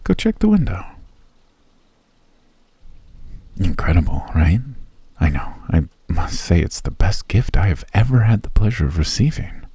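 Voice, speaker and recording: Brief: male VA as a mysterious elf recluse, very deep husky voice, genteel and teasing. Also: huge pitch swings, moderate pace, no echo, exaggerated voice. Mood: playful. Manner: genteel, teasing, softly menacing. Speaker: voice actor. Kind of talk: ASMR roleplay.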